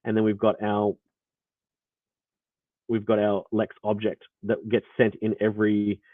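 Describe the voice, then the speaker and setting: A man's voice: lowish voice, monotone, unhurried, no room sound. Australian man, tinny lo-fi audio. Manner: rambling, many fillers and restarts. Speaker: developer presenting. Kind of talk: live coding walkthrough. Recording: tinny phone-like audio line.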